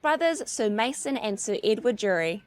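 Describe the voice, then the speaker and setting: A woman, narrating a news feature in a measured, clear way. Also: on the high side, big pitch swings. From New Zealand. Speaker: news presenter.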